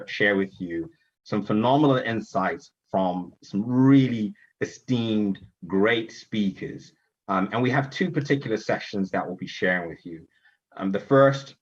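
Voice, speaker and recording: Husky low-voiced man from Zimbabwe. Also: muffled recording. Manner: earnest, emphatic, with fillers and restarts. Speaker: event host. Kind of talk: virtual summit welcome address. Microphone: virtual conference stream.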